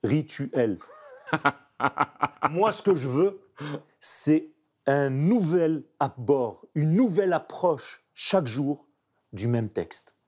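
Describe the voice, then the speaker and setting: A man's voice: on the high side, slightly rough. A man, a painter speaking French as a second language, reflecting emphatically in an interview. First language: not French. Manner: animated, emphatic, searching pauses. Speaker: painter guest.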